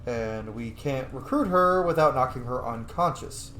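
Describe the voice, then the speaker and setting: A man's voice: medium pitch, expressive, a little slow, a bit bright, roomy sound, slight background noise. A man giving casual let's-play commentary as a video host, playful and sassy and swearing freely.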